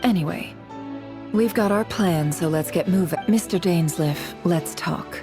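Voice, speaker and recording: A woman's voice: fairly low pitch, unhurried, music in the background. A woman voicing a cool, shrewd schemer in a video game, guarded and proud, with a sly, wry, teasing manner. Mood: businesslike.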